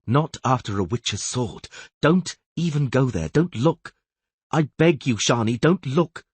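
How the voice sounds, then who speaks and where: A male narrator voicing a grim man who speaks in a dull voice, pleading with a young woman, husky and dry. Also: mid-range voice, animated, unhurried, no echo, pitched above the performer's own voice. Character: grim adult male companion pleading softly.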